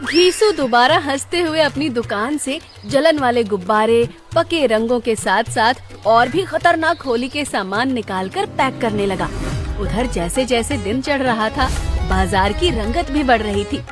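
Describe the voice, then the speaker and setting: Female storyteller for animated village tales, high voice, scripted narration over background music. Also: a little slow.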